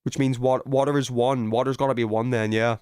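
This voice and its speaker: A man with a mid-pitched voice talks as a live streamer over a video game. His delivery is informal and conversational, as if thinking aloud. The sound is dry, with hardly any room in it. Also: level tone, a little fast, darkish tone, clear, resonant tone, no room sound. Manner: informal, thinking aloud while working out puzzles. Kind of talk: casual chat while playing a video game.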